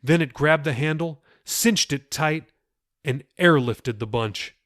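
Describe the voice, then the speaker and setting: A man's voice: fairly high pitch, takes it slowly, fairly bright tone, no room sound, clean studio sound. A man reading his own novel aloud as an audiobook, with dramatic shifts in pace. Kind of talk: audiobook narration.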